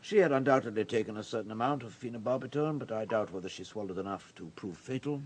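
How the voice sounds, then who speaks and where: An actor in a radio drama playing a reserved society doctor with a measured, formal and guarded manner. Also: male voice, lowish voice, lightly acted. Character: reserved, professional male society doctor, careful and evasive.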